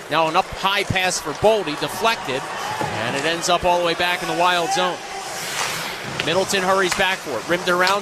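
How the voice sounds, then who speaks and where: A man doing live radio play-by-play of ice hockey in fluent, clipped phrases. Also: high voice. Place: arena broadcast position. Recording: noisy live arena radio broadcast. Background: arena ambience and noise.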